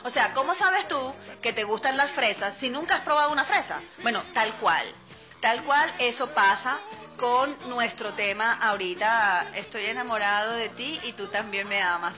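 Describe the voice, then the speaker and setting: A woman's voice: fairly high pitch, normal speed, bright, forceful tone, soft background music. A woman with a Venezuelan accent hosting a radio show, chatting casually and playfully, laughing often as she talks to her listeners. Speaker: radio host. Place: radio studio.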